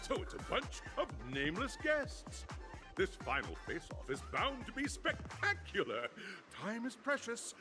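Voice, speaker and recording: A man's voice: extremely high pitch, wildly swooping pitch, unhurried, exaggerated voice. An actor playing an elderly, theatrical tycoon in a video game, speaking in a grandiose showman's way. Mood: boastful, nostalgic. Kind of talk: video game character acting. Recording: game music behind the voice.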